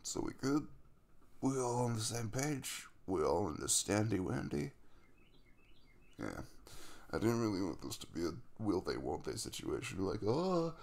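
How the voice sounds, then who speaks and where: A man's voice: lowish voice, creaky, darkish tone, exaggerated voice. A man acting an affectionate, earnest, chatty young crush in an intimate ASMR roleplay, rambling playfully in a casual way. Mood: casual, reassuring.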